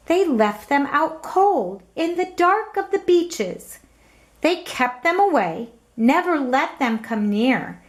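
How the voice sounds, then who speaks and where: A woman narrates a children's storybook with dramatic, emphatic expression. Her high voice moves widely in pitch, and she takes her time over the lines. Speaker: storybook reader.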